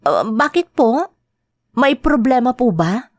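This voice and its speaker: A woman playing a grieving mother working abroad, speaking with slow, heartfelt dramatic delivery. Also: on the high side, sing-song, slight background noise. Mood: earnest. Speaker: overseas domestic worker. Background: faint guitar music.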